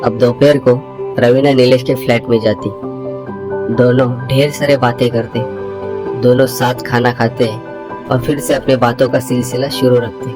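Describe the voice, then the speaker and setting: Male storyteller over loud music. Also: flat delivery, moderate pace. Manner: dramatic storyteller, voices the hero's lines higher. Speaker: narrator. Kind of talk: scripted story narration. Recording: loud music bed under narration.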